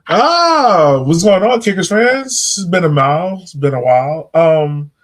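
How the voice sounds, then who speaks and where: A man's voice: a little high, lively intonation, unhurried. A man hosting a sports fan podcast, chatting casually in an informal, slangy, enthusiastic way. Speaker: podcast host.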